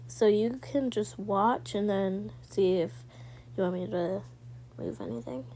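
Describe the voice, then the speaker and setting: A woman's voice: medium pitch, measured pace, warm tone, slight background noise, natural voice. A woman voicing a sweet, helpful man in casual role-play, speaking in a hesitant, accommodating way.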